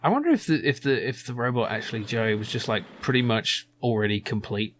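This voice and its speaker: A man's voice: medium pitch, lively intonation, a little fast, a bit bright, slight background noise. A man giving casual, rambling let's play commentary as a gaming channel host, musing hesitantly as he goes.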